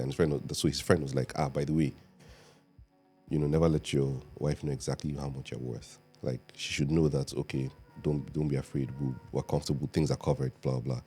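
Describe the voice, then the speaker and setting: Nigerian man, 30s, very deep mellow raspy voice, laid-back storyteller over faint music. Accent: Nigerian English. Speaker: podcast guest. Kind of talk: casual chat.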